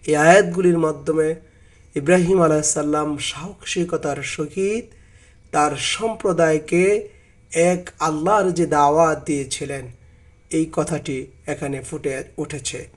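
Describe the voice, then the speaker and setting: A man reading out and explaining a translation of scripture as a religious narrator, deliberately and with some repeated phrases. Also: on the high side, fairly even intonation.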